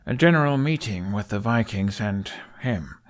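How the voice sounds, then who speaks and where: A man's voice: fairly low pitch, expressive, moderate pace, lightly acted. A man voicing an awkward, earnest young male Viking dragon rider in an audiobook, hesitant and easily flustered. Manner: hesitant, stammering, flustered when pressed. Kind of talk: audiobook character acting.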